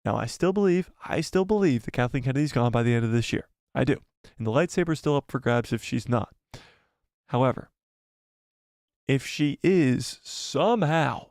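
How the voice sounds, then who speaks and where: A man of ordinary pitch talking casually on a live stream, rambling and reading out comments from viewers. His voice is getting worn and hoarse. The sound is dry and close, with no room echo. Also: a little mellow. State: losing his voice.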